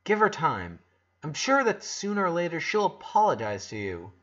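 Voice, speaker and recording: A man's voice: on the high side, sing-song, normal speed, fairly bright tone, muffled recording, voice pushed up. A man voicing a kind, earnest eleven-year-old boy in an audiobook story, reassuring and a little hesitant.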